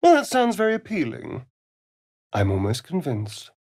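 Sly theatrical vampire with sweeping pitch. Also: male voice, huge pitch swings, normal speed, a little mellow, no room sound, clean studio sound, heavily acted. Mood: sardonic, flirtatious. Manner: theatrical, drawling, sardonic. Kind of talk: video game character acting.

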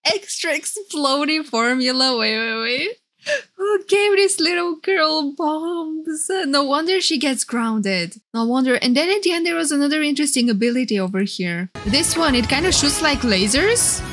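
A woman's voice: sits high, animated, normal speed, a bit bright. A woman streamer giving casual, gushing, exclamatory reaction commentary. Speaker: VTuber streamer. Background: game trailer music.

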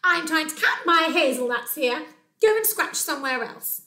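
Woman playing a yelling, high-voiced irritated squirrel. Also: animated, normal speed, roomy sound, exaggerated voice. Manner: yelling, scolding. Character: busy, irritated squirrel counting its nuts.

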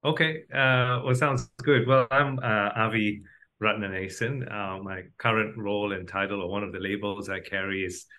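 A man's voice: lowish voice, flat delivery, normal speed, bright, forceful tone. A middle-aged man from Malaysia giving reflective, conversational answers as an interview guest. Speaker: podcast guest, doctor turned entrepreneur.

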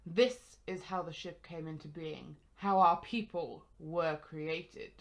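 A woman's voice: low voice, takes it slowly, loud music behind the voice. A woman acting a solemn old man, keeper of a legend, oracular in an amateur radio drama. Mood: solemn, reverent.

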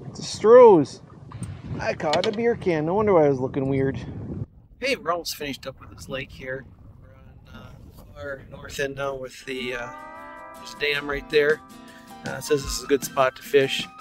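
A man in his forties hosting a casual fishing vlog from a boat on a lake, chatting excitedly to the camera. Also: on the high side, moderate pace, long pauses, soft background music. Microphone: action camera on the boat. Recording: wind and water noise. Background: wind and water noise.

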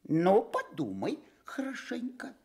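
An actor playing a pompous, fault-finding male stork in a Soviet radio fairy tale, drawing out his words in a husky voice. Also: sits high, wildly swooping pitch, darkish tone, heavily acted. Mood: condescending. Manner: drawn-out, pompous. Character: fussy, self-important male stork, grumbling critic.

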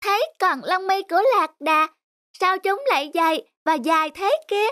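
A woman's voice: very high voice, normal speed, sharp tone, dry recording, clean studio sound. A woman voicing a curious small child in a cartoon, full of questions and exclamations. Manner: inquisitive, exclaiming. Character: curious, wide-eyed small child at the zoo. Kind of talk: animated cartoon dubbing.

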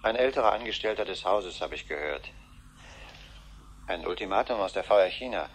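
An actor playing a hesitant command-centre official who speaks in halting bursts, in a radio drama. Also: male voice, deep voice, animated, slight background noise. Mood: puzzled, halting. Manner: halting, hesitant reading with many pauses. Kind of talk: 1970s German radio drama acting.

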